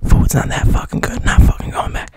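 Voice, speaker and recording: A man's voice: brisk, darkish tone, slight background noise, close to an everyday voice. A man voicing a jittery, cautious male turtle, whispering the lines with plenty of swearing. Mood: anxious. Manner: whispered, anxious, profane.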